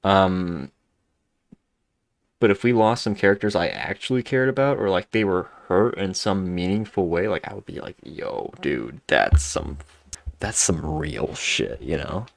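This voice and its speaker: A man's voice: low voice, expressive, measured pace, creaky. A man giving casual, laid-back, slangy commentary as he plays a video game. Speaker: gamer, video host.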